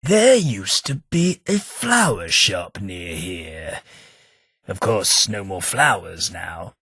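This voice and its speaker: An actor playing an old, wry undead London gentleman in a game, speaking, formal politeness and dry wit, with a husky voice. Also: low-pitched, big pitch swings, takes it slowly, no room sound, heavily acted. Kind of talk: game companion voice lines. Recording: dry close studio recording.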